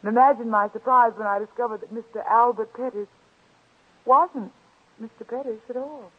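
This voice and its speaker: A woman's voice: on the high side, steady tone, moderate pace, a bit bright, slightly rough. An actress playing a poised, slyly probing young woman visitor, talking in a polite, genteel, conversational way in 1940s radio drama style. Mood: chatty, sly. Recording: tinny, muffled old-time radio recording.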